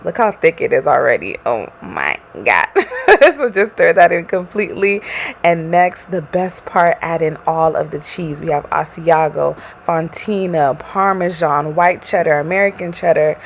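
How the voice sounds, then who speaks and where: A woman's voice: low voice, expressive, unhurried, creaky, a little hiss or hum. A woman hosting a cooking show, narrating a recipe in a chatty, upbeat way with casual slang and frequent laughs.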